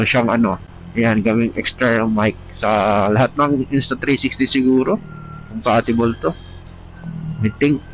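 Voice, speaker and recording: A Filipino man with a stuffy, congested voice from a cold, chatting casually and a bit hesitantly as a motovlogger, slipping into English phrases. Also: mid-range voice. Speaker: motovlogger reviewing gear. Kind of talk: casual vlog chat. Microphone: helmet Bluetooth intercom. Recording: dull, noisy Bluetooth intercom mic, vehicle sound.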